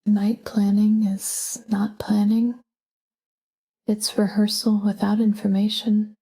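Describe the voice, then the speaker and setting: A woman speaks in a soft, breathy half-whisper, guiding a sleep meditation. Her delivery is slow and even, with a mellow tone that stays calm and gentle throughout. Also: mid-range voice, low-quality recording. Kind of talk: scripted guided sleep meditation, soft spoken.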